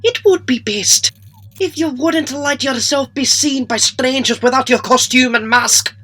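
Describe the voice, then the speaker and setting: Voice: sits high, a little slow, sharp tone, exaggerated voice. A performer voicing a pedantic artificial-intelligence helper in a comic dub, precise and lecturing with technical language.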